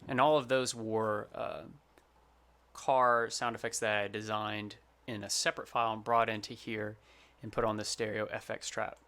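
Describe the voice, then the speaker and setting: A man's voice: lowish voice, level tone, normal speed, fairly bright tone. A man who works as a sound designer, giving an informal, plain-spoken tutorial. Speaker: sound designer presenting his project.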